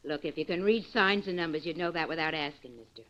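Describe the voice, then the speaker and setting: A woman's voice: low-pitched, quick pace, a bit bright. An actress playing a surly, suspicious woman in an old radio drama, with curt, ungrammatical folksy speech. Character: surly, suspicious woman answering her door late at night. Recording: muffled vintage radio recording with hiss.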